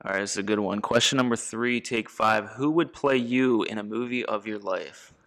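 A man co-hosting a casual interview, upbeat and quick to laugh. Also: fairly low pitch, a bit bright. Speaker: podcast co-host.

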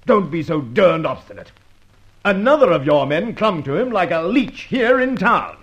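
A man's voice: high-pitched, very animated, moderate pace, fairly bright tone, full character voice. A man playing a blustering, scolding police superintendent in an old radio drama, sarcastic and brusque. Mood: exasperated. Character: blustering, irate Scotland Yard police superintendent. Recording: dull old radio recording.

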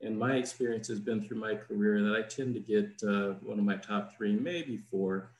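A man, a diplomat guest, answering questions in a plain, measured, explanatory way over a video call. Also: on the low side, darkish tone. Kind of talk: informative answers in an interview. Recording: roomy echo.

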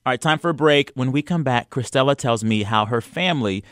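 A man's voice: mid-range voice, brisk, clean tone, no room sound. A man hosting a casual interview who answers his guest often and asks questions conversationally. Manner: responsive, frequent backchannel, laughs. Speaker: radio interview host.

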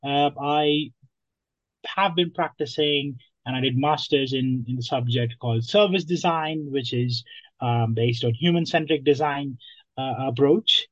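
A man's voice: medium pitch, a little slow, no room sound, highs cut off. A young man from Nepal, a guest on a podcast, speaking hesitantly with many ums and restarts. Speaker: guest, app founder. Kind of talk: podcast interview.

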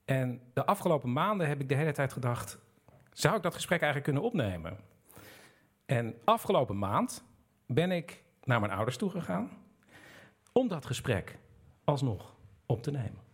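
A man's voice: mid-range voice, very animated, normal speed, long pauses, slightly rough. A man hosting a storytelling show, narrating in a personal way.

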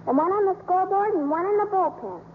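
Little orphan girl, about eight: very high, eager, innocent; muffled, hissy vintage radio. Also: lively intonation, fast talker. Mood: earnest, polite. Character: earnest eight-year-old orphan girl who sees angels. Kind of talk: 1950s radio drama acting. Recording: muffled, noisy old radio broadcast.

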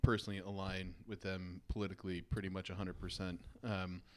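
A man's voice: deep voice, little pitch movement, fairly quick, a little mellow. A man co-hosting a podcast with casual, wry, joking banter. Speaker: podcast co-host.

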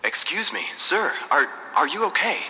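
Hesitant interviewer in cavernous reverb. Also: male voice, high voice, sing-song, normal speed. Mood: concerned, puzzled. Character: polite, concerned man interviewing a trapped captive. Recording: muffled, long cavernous silo reverb.

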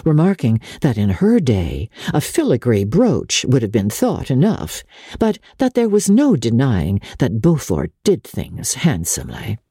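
A woman narrates literary fiction with dramatic, measured expression. Her voice is dark and husky and moves widely in pitch. She delivers it as polished scripted storytelling. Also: wildly swooping pitch, no echo, studio quality. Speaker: audiobook narrator.

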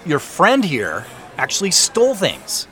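An indignant, suspicious man in an audio drama. His voice is slightly high for a man and lively in pitch, with a pressing, defensive manner. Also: unhurried, heavily acted. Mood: accusing, pressing. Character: earnest, indignant, suspicious man demanding answers. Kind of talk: naturalistic audio drama dialogue.

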